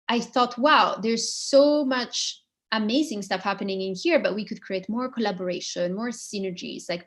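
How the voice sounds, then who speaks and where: Female panel guest, thirties, mid-range voice, casual personal storytelling on an online call. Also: mid-range voice. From Switzerland. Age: 30s. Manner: informal, frequent "like", chuckles mid-sentence. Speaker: guest, impact investor and founder. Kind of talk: informal personal account in an interview.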